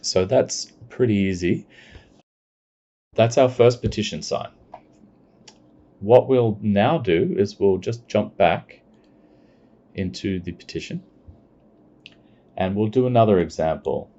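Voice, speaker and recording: A man giving a plain, step-by-step screencast walkthrough of software. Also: on the low side. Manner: plain step-by-step explaining, unhurried. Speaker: software demonstrator. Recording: dull lo-fi recording.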